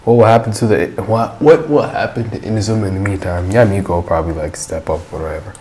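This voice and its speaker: A woman's voice: very deep voice, fast, warm tone. A woman doing a casual game playthrough, dropping short offhand remarks as she reacts. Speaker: gaming streamer.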